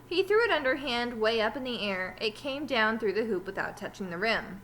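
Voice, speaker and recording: A woman teacher reading a children's story aloud with animated, emphatic delivery. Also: a little high, moderate pace, slight background noise, rough recording.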